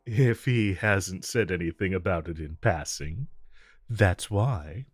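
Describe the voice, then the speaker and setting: A man playing a smug, flirtatious supervillain in a romantic audio roleplay, coaxing and teasing the listener with drawn-out phrasing. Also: deep voice, dry recording, soft background music. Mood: teasing, wry.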